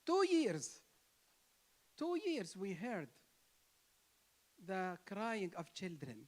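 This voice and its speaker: A man's voice: sits high, sing-song, very slow, a little mellow. A man from Palestine, a native Arabic speaker with a Palestinian Arabic accent, giving an impassioned, emphatic speech at an interfaith gathering. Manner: emphatic, repetitive, rising to stress key words.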